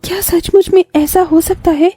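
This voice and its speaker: A woman's voice: very high voice, fairly even intonation, moderate pace, warm tone, dry recording. A woman playing a clever, tender-hearted poor village daughter in a cartoon moral story. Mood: amazed, joyful.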